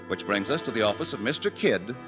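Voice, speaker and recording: A man's voice: fairly low pitch, lively intonation, fairly quick. Formal old-time radio announcer man. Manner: formal, declamatory. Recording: old broadcast with music bed.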